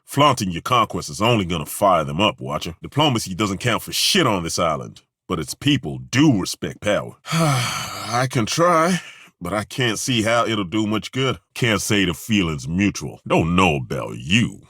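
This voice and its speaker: An actor playing a gruff, folksy middle-aged male dwarf fighter with a dry, wry, plainspoken way of talking, in video game voice acting. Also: deep voice, very animated, moderate pace, pushed and bright, dry recording, exaggerated voice. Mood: dry banter. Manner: dry, wry and plainspoken, with roaring battle cries. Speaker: party companion.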